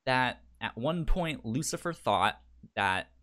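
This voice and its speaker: A man co-hosting a podcast, chatting casually about a TV show, stammering and repeating sounds and words as he talks in an animated way. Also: medium pitch, slow. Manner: animated, acts out quotes. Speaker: podcast co-host.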